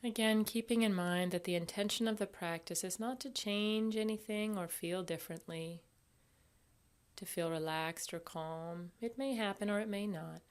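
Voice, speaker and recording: A woman guiding a meditation as an instructor, speaking gently and without hurry, leaving long pauses. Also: mid-range voice, smooth. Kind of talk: guided body scan meditation.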